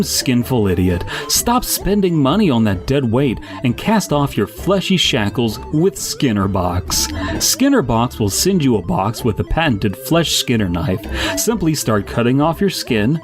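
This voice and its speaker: A man's voice: mid-range voice, big pitch swings, a little slow, over music. A man reading scripted podcast credits and a mock advertisement as an announcer.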